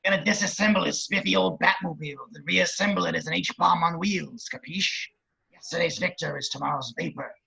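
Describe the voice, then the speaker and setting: A man playing a crude, lecherous, scheming villain running for mayor, with a very raspy voice and wisecracking delivery, in an amateur script read. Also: fairly high pitch, a little fast, pushed and bright, exaggerated voice, poor audio. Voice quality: very raspy, gravelly. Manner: leering, wisecracking.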